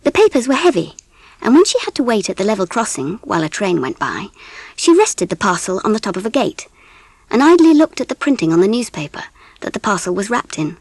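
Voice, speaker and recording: An actress playing a genteel English mother who narrates a children's story in a measured, expressive way with an English accent. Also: mid-range voice, no room sound. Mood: wistful, grave. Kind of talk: scripted audiobook narration with music.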